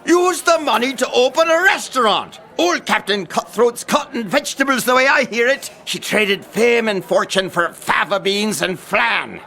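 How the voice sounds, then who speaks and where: An actor playing a washed-up pirate sailor who gripes theatrically and nostalgically in a stage pirate accent. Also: male voice, extremely high pitch, animated, moderate pace, creaky, few pauses, bright, forceful tone, exaggerated voice. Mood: indignant. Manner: theatrical griping.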